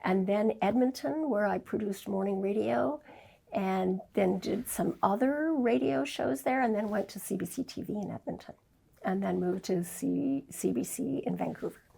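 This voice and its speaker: An older woman born in Scotland gives a candid, reflective interview, pausing with fillers. Her voice is gravelly and husky. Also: mid-range voice, dark tone. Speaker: interview guest, documentary filmmaker. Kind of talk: conversational interview.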